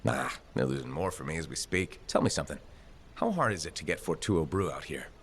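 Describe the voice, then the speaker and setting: An actor playing a confident, wisecracking freelancer hero in a video game, wry and assured. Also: male voice, extremely low pitch, very animated, fairly quick, gravelly, slight background noise, full character voice. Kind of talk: video game protagonist dialogue.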